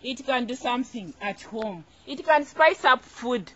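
A woman's voice: on the high side, lively intonation, unhurried, a bit bright. A young Ugandan woman speaking informally to camera as a farming host, encouraging and emphatic, repeating phrases for emphasis. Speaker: farmer and video host. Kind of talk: informal instructional vlog to camera. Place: small outdoor home garden. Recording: dull, lo-fi camera audio.

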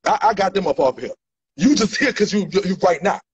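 Angry high-voiced man. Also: expressive, a little fast, husky. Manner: angry, profane, repetitive, gesturing wildly. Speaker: rapper ranting to followers. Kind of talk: heated selfie-video rant. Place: indoor room. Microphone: phone at arm's length. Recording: dull lo-fi phone audio.

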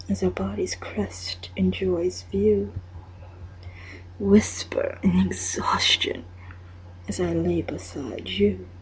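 A woman reading a poem aloud, mostly in a soft whisper, drawing her words out. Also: medium pitch, slightly rough, low-quality recording. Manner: soft whispering, slow and drawn out.

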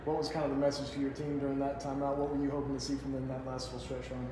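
Flat-voiced man reporter asking questions. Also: mid-range voice, quick pace. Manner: long, rambling questions. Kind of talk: press interview questions. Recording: echoey room with noise.

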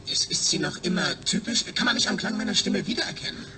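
A man's voice: sits high, big pitch swings, fast talker, background noise. A man playing an eager, needy astronaut's brain speaking emphatically through a speech synthesizer in a radio drama. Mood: anxious, pleading. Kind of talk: German radio drama acting.

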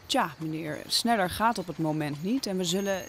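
A woman playing a polite, cautious male coachman in an audio drama, formal and measured. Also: on the low side, a little hiss or hum, natural voice. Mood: hesitant. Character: deferential, portly male coachman, dignified and cautious.

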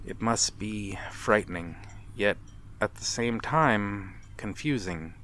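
A man playing a courteous, melancholy vampire in a dramatic ASMR roleplay, speaking formally and with measured pauses. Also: fairly low pitch, a bit bright. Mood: gentle, reassuring. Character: courteous, melancholy male vampire, lonely and mysterious. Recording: clear background ambient noise. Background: night harbor ambience.

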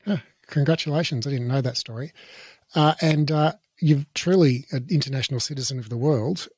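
A man in his forties hosting a podcast interview in a home office on a studio mic, relaxed and laughing easily, with some hesitant fillers. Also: medium pitch, fairly quick, breathy edge, no room sound. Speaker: podcast host. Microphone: large studio mic on boom arm.

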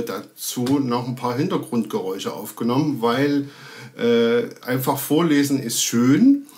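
Medium-pitched man, casual and hesitant. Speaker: cameraman and editor, guest. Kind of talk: casual chat. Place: room indoors, on a video call.

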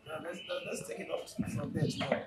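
A man's voice: a little high, steady tone, fairly quick, very noisy, natural voice. A man playing a businesslike character winding up a meeting in a screen drama.

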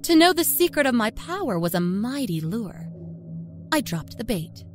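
Animated woman narrator of dark fiction. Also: fairly high pitch, normal speed, soft background music. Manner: expressive, storytelling. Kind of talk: dramatic first-person scripted horror narration. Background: musical score.

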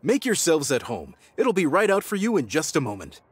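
A man's voice: fairly high pitch, huge pitch swings, brisk, heavy background noise. An actor playing a kindly middle-aged chef and protective father in an anime video game, courteous and fatherly. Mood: welcoming.

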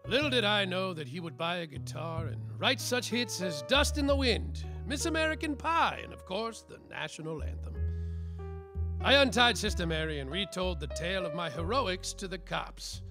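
An actor playing a world-weary, semi-sober, hapless private detective with melodramatic, wry delivery in a noir radio parody. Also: male voice, high-pitched, animated, normal speed, music in the background. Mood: wry narrating. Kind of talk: hard-boiled noir narration, radio play parody.

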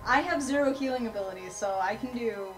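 A woman's voice: on the high side, fast talker, low-quality recording. A woman playing a tabletop role-playing game, chatting casually and bluntly with the table. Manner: blunt, swearing freely. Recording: music under the talk.

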